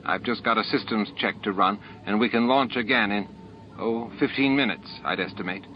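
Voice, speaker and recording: A man's voice: on the low side, normal speed, faint music behind, close to an everyday voice. A man voicing a dependable, matter-of-fact starship engineer in an audiobook. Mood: businesslike. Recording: dull lo-fi audiobook tape.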